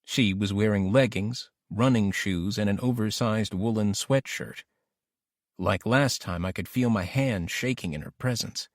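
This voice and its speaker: Low-pitched man narrating, very dry sound. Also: a little slow, no room sound. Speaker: audiobook narrator. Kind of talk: scripted first-person thriller narration.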